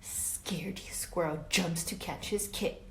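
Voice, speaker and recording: A woman reading a picture book aloud to children as a teacher, dramatic and expressive, pausing to ask her listeners questions. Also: on the low side, gravelly, in a whisper. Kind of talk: animated children's read-aloud with asides to listeners. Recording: roomy echo with faint background noise.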